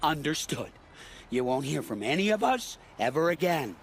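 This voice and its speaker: An actor playing a cocky but cowardly bandit swindler in a video game, with a theatrical, blustering manner. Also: male voice, a little high, big pitch swings, moderate pace, a little hiss or hum. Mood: nervous bargaining. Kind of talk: cinematic game dialogue.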